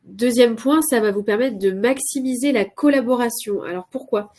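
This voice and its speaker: A woman's voice: fairly high pitch, normal speed, a little mellow. A woman with a cold, presenting as a consultant in a live webinar, hesitant with many filler sounds and restarts. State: has a cold.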